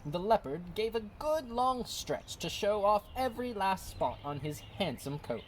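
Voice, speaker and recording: A woman's voice: medium pitch, very animated, measured pace, slight background noise, low-quality recording. A woman reading a children's story aloud expressively. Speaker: storybook reader. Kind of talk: expressive read-aloud narration.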